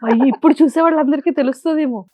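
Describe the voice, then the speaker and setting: A woman's voice: high-pitched, very fast, warm tone, no echo. A woman interviewer hosting a casual celebrity chat, speaking Telugu with some English.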